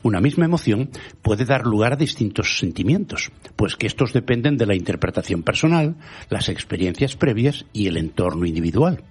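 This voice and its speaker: Galician-native guy hosting radio, reads his essay with lots of swing in his pitch, kinda husky, soft music under it. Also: lively intonation. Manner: measured, rhetorical, quotes proverbs and thinkers. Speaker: radio show host. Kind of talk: scripted editorial reading.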